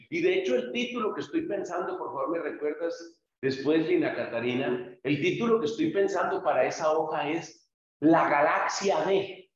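A man, a pastor, teaching a church group, explaining patiently and speaking to listeners directly by name. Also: fairly high pitch. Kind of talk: instructional talk to a small group. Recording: very echoey, dull lo-fi room.

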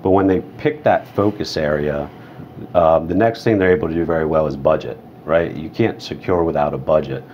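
A man in his thirties explaining points calmly as a panelist. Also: rumbling low, brisk. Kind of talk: panel discussion. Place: hotel room studio set. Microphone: lapel. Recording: dull sound, faint room hiss.

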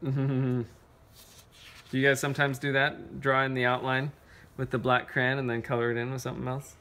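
Casual dad chatting at storytime. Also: male voice, mid-range voice, flat delivery, normal speed, sharp tone, slight background noise. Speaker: father reading aloud to his children. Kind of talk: casual chat with children during storytime. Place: carpeted room at home.

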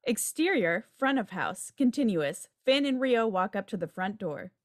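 A woman narrates stage directions from a script. Her voice is medium in pitch, with a dry sound free of echo. She reads for a table read held on a video call. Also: sing-song, normal speed. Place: home room on a video call.

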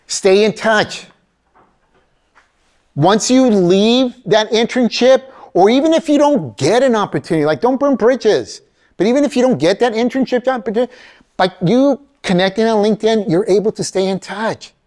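A man's voice: high voice, moderate pace. A middle-aged man giving a motivational career keynote in a college lecture hall, talking straight to the audience as "folks," recorded from a camera out in the audience. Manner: animated, addresses audience as folks, acts out quoted voices. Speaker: keynote speaker. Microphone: far camera in audience.

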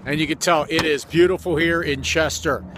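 A man's voice: a little high, fairly even intonation, moderate pace. A man in his fifties speaking as an enthusiastic announcer and interviewer, ad-libbing an on-location promo. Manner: enthusiastic, effusive. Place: outdoors by a sports complex. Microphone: phone at arm's length. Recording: outdoor phone video with background noise.

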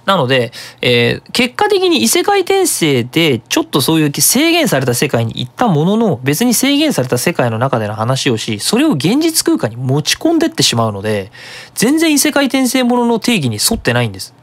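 A web radio host giving a casual, explanatory monologue in an animated manner. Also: medium pitch, moderate pace.

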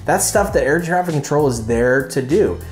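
A man's voice: fairly high pitch, lively intonation, normal speed, soft background music. Man teaching informally in an echoey room. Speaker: flight instructor and video host. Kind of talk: informal instructional talk to camera. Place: small furnished living room indoors. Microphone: lapel mic.

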